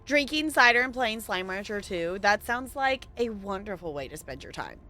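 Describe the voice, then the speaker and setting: A woman's voice: mid-range voice, expressive, moderate pace, bright, forceful tone. A woman streamer chatting playfully and rambling through casual live commentary on a game.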